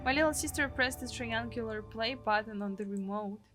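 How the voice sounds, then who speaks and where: A woman's voice: a little high, normal speed, over music. A woman from Russia, reading a visual novel's story aloud expressively as a video host.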